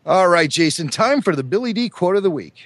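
Hoarse male podcast co-host, chatty and trivia-loving; lively pitch, dry close mic. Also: brisk, no echo. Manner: chatty, enthusiastic, fond of trivia. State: hoarse from a cold. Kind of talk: casual chat.

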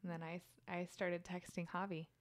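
A woman in her thirties chatting casually as a podcast guest, laughing often and adding quick asides. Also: lowish voice, flat delivery. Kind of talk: casual chat.

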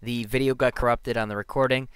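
A man's voice: mid-range voice, steady tone, fast, smooth, dry recording. A man giving an informal spoken announcement as a podcast host.

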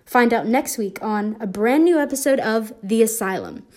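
A woman's voice: on the high side, lively intonation, moderate pace, darkish tone. A young woman reading scripted announcements and ad copy for an amateur radio drama. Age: teenager. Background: music.

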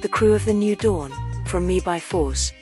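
A woman plays a composed ship's AI introducing an audio drama. Her scripted narration sits a little low in pitch, with music clearly behind it. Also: normal speed, a little mellow, lightly acted. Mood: composed, reflective.

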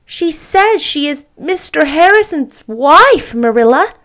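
A woman reading an audiobook, voicing an eager, imaginative young schoolteacher girl who talks in an excitable, gushing way. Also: high voice, slow pace, pitched above the performer's own voice, heavily acted. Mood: excited.

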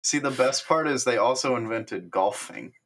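A man's voice: mid-range voice, normal speed, fairly bright tone. A man co-hosting a podcast in casual chat, self-mocking with absurd jokes and frequent laughter. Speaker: podcast co-host.

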